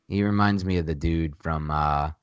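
A man's voice: a bass voice, normal speed, clear, resonant tone, no echo, dull audio. A man co-hosting a casual sports podcast, with a nasal voice and an emphatic way of repeating his points. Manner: emphatic, repeats himself when pressing a point. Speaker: podcast co-host.

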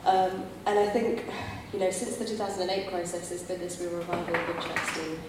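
Woman with a cold, flat, echoey hall. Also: fairly low pitch, a little fast. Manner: chatty, many ums. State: has a cold, slightly losing her voice. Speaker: panel speaker, author. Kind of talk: panel talk. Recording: echoey hall from far off, hiss.

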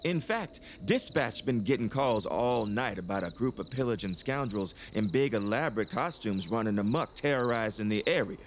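A man's voice: lowish voice, animated, moderate pace, few pauses, a little hiss or hum, natural voice. A man acts out a mocking small-town police officer in an audio drama. His folksy drawl rises and falls freely with sarcastic bluster. Faint night insects sit behind him. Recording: outdoor night ambience with crickets.

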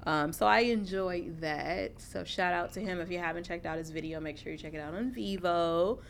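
An American woman co-hosting a talk show in casual, blunt, profane banter, telling stories in an animated way. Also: on the low side, normal speed, vocal fry. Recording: noticeable background noise.

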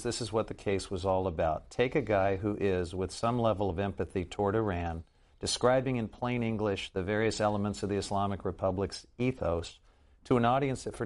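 Low-pitched male interviewer. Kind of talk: measured book-interview questioning.

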